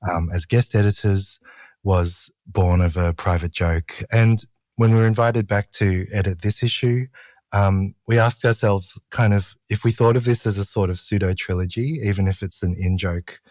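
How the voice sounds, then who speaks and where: A man's voice: low voice, darkish tone, no echo. A man who edits a magazine, talking casually and reflectively in an interview. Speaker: magazine editor being interviewed.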